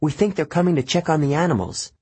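A jittery young satyr whispers an urgent warning in a voice pitched far above normal, as a man puts him on. It is quick and nervous. The voice sounds husky and dry. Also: darkish tone, no room sound. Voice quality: high, urgent. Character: jittery young male satyr, peace-loving.

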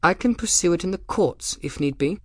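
A man's voice: sits high, animated, fairly quick, dry recording, studio quality, pitched above the performer's own voice. A man voicing an arrogant, sneering male commodore who threatens and belittles. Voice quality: sneering, contemptuous.

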